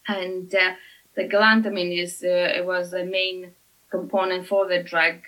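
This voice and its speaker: Woman with a bright Lithuanian accent. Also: lowish voice, flat delivery, poor audio. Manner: halting, many "uh" and "um" fillers. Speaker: master blender at a whisky distillery. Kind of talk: podcast guest interview.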